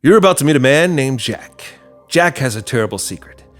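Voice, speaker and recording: A man's voice: mid-range voice, animated, brisk, a bit bright, faint music behind. A man hosting a podcast, reading a scripted introduction to a story. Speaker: podcast host.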